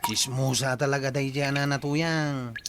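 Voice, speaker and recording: A man's voice: medium pitch, steady tone, a little slow, music in the background, natural voice. A man playing a teasing, meddlesome villager in an Ilocano comedy drama. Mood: nosy, prodding. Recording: loud background noise.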